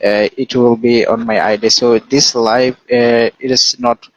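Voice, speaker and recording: A man's voice: mid-range voice, level tone, a little slow, no echo. A man with a Bangladeshi English accent, a Bengali speaker, tutoring English on a live stream. He talks earnestly and at length, with many "uh" fillers. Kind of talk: casual advice in a live chat. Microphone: online live stream call. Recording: dull lo-fi stream audio with faint noise.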